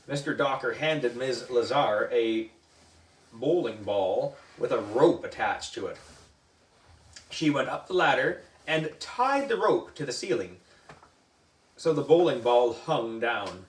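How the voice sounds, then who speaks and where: Guy reading a kids book out loud, really expressive, takes his time, echoey and kinda far from the mic. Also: mid-range voice. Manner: expressive, deliberate, acts out dialogue. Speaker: storyteller reading a children's book. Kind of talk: animated read-aloud narration. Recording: roomy echo, distant microphone.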